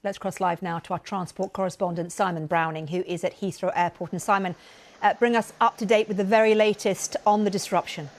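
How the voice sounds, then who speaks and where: Female news presenter, scripted studio-style delivery, medium pitch. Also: fairly even intonation, a little fast, slight background noise. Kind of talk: scripted broadcast news reading.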